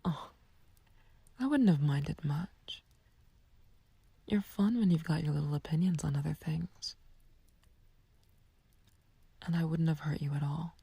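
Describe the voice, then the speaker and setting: A woman playing a flirtatious, taunting villain in an ASMR roleplay, speaking softly and breathily in a teasing, coaxing, languid way. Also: sits low, unhurried, long pauses, no room sound, heavily acted. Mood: teasing, amused. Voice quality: breathy, whispery, soft. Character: flirtatious, taunting female villain sweet on a captive friend. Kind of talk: ASMR roleplay, intimate scripted acting.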